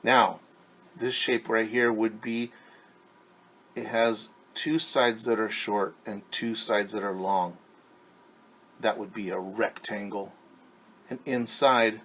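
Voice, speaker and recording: A man reading a picture book aloud to young children as their teacher, patiently asking them questions and pausing for answers. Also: fairly low pitch, a bit bright, slight background noise. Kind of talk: children's storytime read-aloud.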